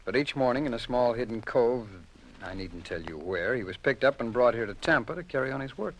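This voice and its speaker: A man acting a measured, courteous American federal agent in a 1950s radio drama, speaking formally with deliberate, discreet pauses. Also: medium pitch, expressive, slight background noise, close to an everyday voice, low-quality recording. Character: measured, courteous male federal agent explaining a secret operation.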